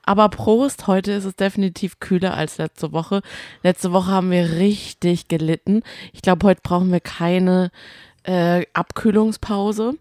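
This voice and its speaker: A woman with a fairly low voice co-hosts a casual podcast. She talks in a loose, colloquial, rambling storytelling style. The sound is very dry, with no room around it. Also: fairly even intonation, measured pace, a little mellow, no room sound. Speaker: podcast co-host. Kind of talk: casual chat.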